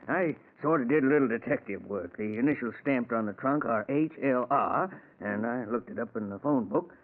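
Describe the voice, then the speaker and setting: An easygoing working man making a delivery in a 1940s radio play, acted by a man. He is folksy and chatty with small hesitations, in a middle pitch, on a narrow tinny recording. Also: normal speed, lightly acted. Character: affable, plain-spoken working-class male delivery man. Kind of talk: 1940s radio drama acting.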